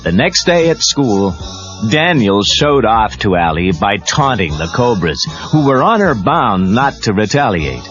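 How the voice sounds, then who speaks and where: A man's voice: on the low side, big pitch swings, unhurried, fairly bright tone, soft background music. A man narrating a scripted read-along story for children as the storyteller. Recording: muffled lo-fi read-along cassette tape.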